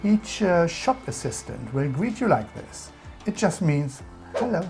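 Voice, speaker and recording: A woman's voice: fairly low pitch, sing-song, unhurried, music in the background. A woman with a German accent, narrating a scripted explainer about English culture in an instructive, emphatic way.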